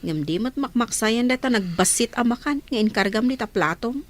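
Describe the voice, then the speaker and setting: An actress playing a caring older sister figure who questions and scolds gently in a radio drama. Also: female voice, fairly high pitch, lively intonation, moderate pace, natural voice. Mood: coaxing. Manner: probing, concerned.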